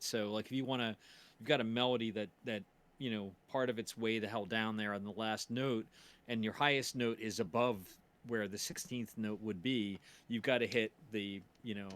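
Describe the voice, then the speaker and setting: A man, a musician on a livestream, chatting casually and haltingly as he thinks aloud. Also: lowish voice, fairly bright tone. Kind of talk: casual chat.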